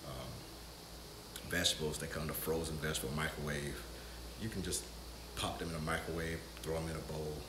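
Man, 50s, vlogger: very low, easygoing how-to delivery, picked up by a camera mic across an echoey kitchen with noise behind him. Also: normal speed. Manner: relaxed, conversational, talks straight to the camera. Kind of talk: casual how-to talk. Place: home kitchen, indoors. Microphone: camera mic from a few feet away.

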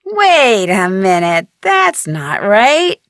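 A woman voicing an inquisitive, polite young female detective in a video game, with expressive delivery. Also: mid-range voice, extremely slow, no echo, studio quality, heavily acted. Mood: puzzled.

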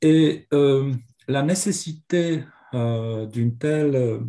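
A man's voice: medium pitch, slow, dark tone. A man with a Polish accent and a cold, giving a hesitant academic lecture over a video call, with many fillers. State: has a cold. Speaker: seminar speaker. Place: home office on video call.

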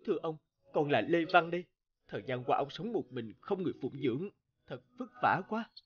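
An actor playing a humble, devoted young scholar in an animated drama, earnest and deferential, full of feeling. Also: male voice, a little high, brisk, muffled recording. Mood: pleading, respectful. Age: young adult.

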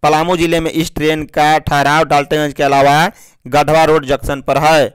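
A man reading a scripted news bulletin in a formal, measured manner as a news reader. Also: a little high, flat delivery, clean tone, dry recording.

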